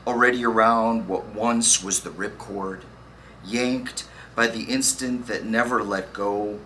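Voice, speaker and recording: A man reading poems aloud with deliberate, dramatic phrasing and pauses. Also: medium pitch, pushed and bright, low-quality recording. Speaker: poetry reader. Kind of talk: spoken poetry reading. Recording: faint background noise.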